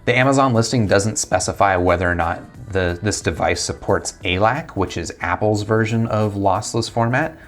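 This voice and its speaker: American man, thirties, low voice, casual product review, clear music behind. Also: normal speed, hardly any pauses. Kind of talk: casual unboxing review talk to camera. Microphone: lapel mic.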